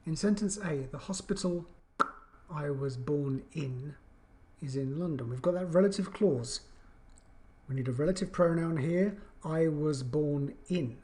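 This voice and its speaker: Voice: mid-range voice, unhurried, dark tone. Emphatic English grammar teacher. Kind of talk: explanatory video lesson.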